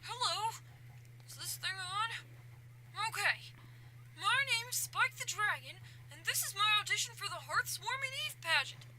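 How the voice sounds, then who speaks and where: Casting: woman playing tiny boy dragon, extremely high, earnest, needy and childlike, for cartoon parody. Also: lively intonation, a little slow, rough recording. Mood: nervous. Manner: pleading, childlike. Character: small, earnest young male dragon, dreamy and needy. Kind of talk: abridged cartoon parody dub.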